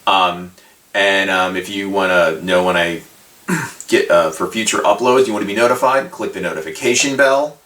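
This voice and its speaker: A man giving a casual, unscripted retrospective as a video host, talking in a halting, rambling, tentative way. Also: sits low, bright, forceful tone. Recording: echoey room, distant microphone.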